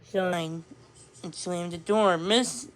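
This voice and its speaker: A woman reads a children's storybook aloud, halting now and then and restarting words. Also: on the low side, steady tone. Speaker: storybook reader. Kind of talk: read-along storytelling of a children's book.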